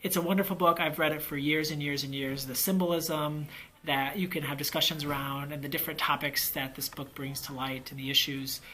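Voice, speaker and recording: A man's voice: a little high, fairly even intonation, a little fast, pushed and bright, low-quality recording. An enthusiastic American man, a teacher casually recommending books in a vlog, emphatic and given to repeating himself for emphasis. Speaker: elementary school teacher and book reviewer. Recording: faint background noise, ambient sound.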